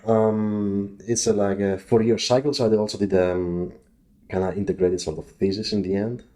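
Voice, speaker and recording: Low-voiced Greek man, halting speech. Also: level tone, warm tone. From Greece. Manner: halting, many restarts and fillers. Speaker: podcast guest, physicist. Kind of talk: casual interview chat.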